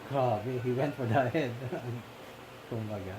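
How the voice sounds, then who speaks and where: A man with a Filipino accent in casual back-and-forth about a film, talking haltingly with restarts and frequent laughs. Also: medium pitch. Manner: halting, many restarts and fillers, laughs often. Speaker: movie discussion co-host. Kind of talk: casual Taglish chat. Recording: noisy background.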